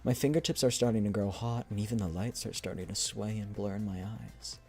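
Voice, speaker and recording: A man voicing a coy, teasing game character in a slow, hushed, suggestive manner. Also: fairly low pitch, husky, soft background music. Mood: coy, woozy.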